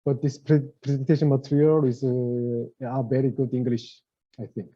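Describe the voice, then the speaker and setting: A middle-aged man with a Korean accent, giving a webinar presentation in English as his second language, halting and searching for words. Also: medium pitch, flat delivery, warm tone. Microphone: video call. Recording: lo-fi video call audio.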